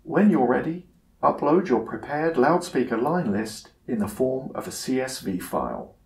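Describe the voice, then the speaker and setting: A man's voice: medium pitch, very animated, creaky, darkish tone. Roomy-sounding male tutorial narrator. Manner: measured, instructional. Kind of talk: scripted narration, software tutorial.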